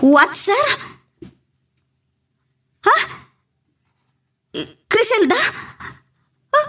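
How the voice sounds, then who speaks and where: A woman with an Ilocano accent acting a distraught, halting, alarmed character in a melodramatic audio drama. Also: very high voice, big pitch swings, bright, forceful tone, faint music behind, heavily acted. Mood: shocked. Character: alarmed woman taking a shocking phone call.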